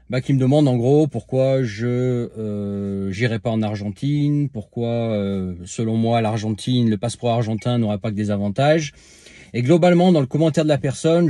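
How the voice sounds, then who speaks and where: A man's voice: mid-range voice, warm tone, dry recording, studio quality. A man talking casually to camera as a vlogger, answering a viewer's comment with many filler sounds and restarts, speaking to the viewer informally.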